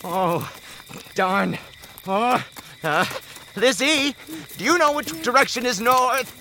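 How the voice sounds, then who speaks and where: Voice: medium pitch, slow pace, pushed and bright, slight background noise. An actor voicing a talking magical goat in an audio drama, a friendly guide with a bumbling, flustered manner. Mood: flustered, chatty. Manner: bumbling, hesitant, exclamatory.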